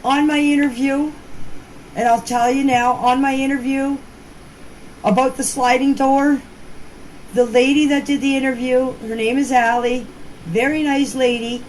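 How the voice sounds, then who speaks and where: A woman's voice: sits high, steady tone, unhurried. A woman giving a casual, rambling personal account in plain, folksy words, recorded as voice messages. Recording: noisy voice-message clips with background ambience.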